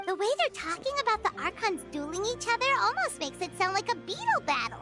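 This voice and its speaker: A woman voicing a small, excitable, childlike fairy companion in a video game, with an exclamatory way of talking. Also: extremely high pitch, fast, over loud music. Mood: chatty. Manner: refers to self in third person, exclamatory.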